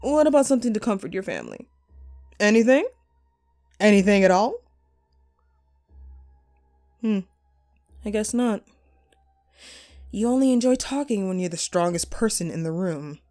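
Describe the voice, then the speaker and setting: A woman's voice: mid-range voice, expressive, moderate pace, long pauses, dark tone, soft background music, natural voice. A woman playing a vengeful, sadistic jilted actress in a horror audio roleplay, mocking and theatrical, with menacing playfulness. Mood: menacing, toying.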